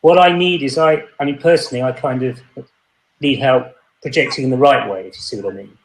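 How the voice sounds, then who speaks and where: A man's voice: medium pitch, brisk. Curious male podcast host, echoey room. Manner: inquisitive, asks follow-up questions, tries exercises aloud. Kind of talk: casual interview chat.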